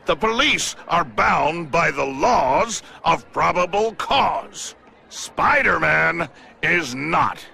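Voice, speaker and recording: A man playing a smug, contemptuous middle-aged tycoon in a video game, speaking in a pompous, formal, deliberate manner. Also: a little high, gravelly, bright, forceful tone, exaggerated voice. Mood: scornful anger. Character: smug, contemptuous male crime-fighting tycoon with menacing self-importance. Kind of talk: dramatic video game cutscene acting.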